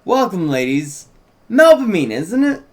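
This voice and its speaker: A man's voice: high voice, sing-song, a little slow, voice pushed up, full character voice. A man playing an effusive small-town shopkeeper and fortune teller in a script table read. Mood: gushing. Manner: effusive, salesy.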